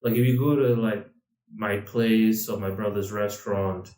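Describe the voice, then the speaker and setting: A man's voice: fairly low pitch, steady tone, moderate pace, darkish tone, distant mic. A man co-hosting a casual podcast chat, rambling through his thoughts with frequent restarts and fillers. He sits a little back from the mic, so his voice carries some room echo. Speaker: podcast co-host.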